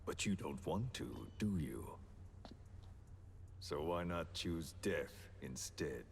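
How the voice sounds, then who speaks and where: An actor playing a menacing, philosophical villain in a video game, speaking deliberately and with theatrical condescension. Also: male voice, rumbling low, lively intonation, creaky, a little hiss or hum, heavily acted. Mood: coldly threatening. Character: menacing, philosophical male villain coercing a captive. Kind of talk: dramatic video game voice acting.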